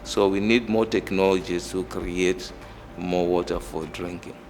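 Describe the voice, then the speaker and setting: A middle-aged man with a Malawian accent, a professor explaining work and background in a measured, halting way. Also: low voice, steady tone, a little mellow, slight background noise. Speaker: engineering professor. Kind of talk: interview monologue explaining own work and background. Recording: reverberant hall, music underneath. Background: background music.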